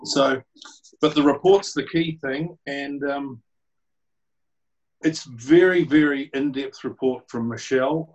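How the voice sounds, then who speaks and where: A man with a croaky voice, presenting a report to a council over a video call in a chatty, rambling way. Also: medium pitch, a little mellow, highs cut off. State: croaky voice, clearing throat.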